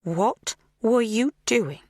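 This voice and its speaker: Woman putting on an unhinged female captor, audiobook villain, plaintive, brooding, breathy, high-pitched, dry recording. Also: lively intonation, drawn-out words, a little mellow, no echo, exaggerated voice. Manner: plaintive, breathy whispers, disdainful.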